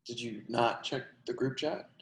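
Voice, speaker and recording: Crude, excitable young city tenant read by an actor, mid-pitched, stage dialogue, echoey call audio. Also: normal speed, close to an everyday voice. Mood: matter-of-fact. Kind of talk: readers theatre play reading over video call. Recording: roomy video-call sound.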